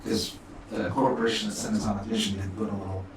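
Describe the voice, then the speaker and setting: A man's voice: fairly low pitch, little pitch movement, fast, a crowd in the background. A man taking part in a tabletop game as a player, talking casually. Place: indoor room with echo. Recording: echoey room with voices behind.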